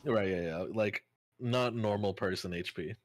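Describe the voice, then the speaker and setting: Male, very deep register, casual conversational gamer talk among friends. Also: unhurried, no room sound. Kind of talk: casual gaming chat.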